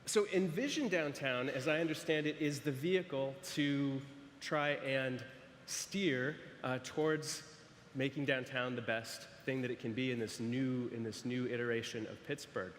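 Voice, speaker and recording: A man's voice: medium pitch, a bit bright. A man from San Francisco giving a conference presentation as an architect, often restarting his sentences with fillers. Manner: hesitant, frequent restarts and fillers. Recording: echoey hall, faint noise.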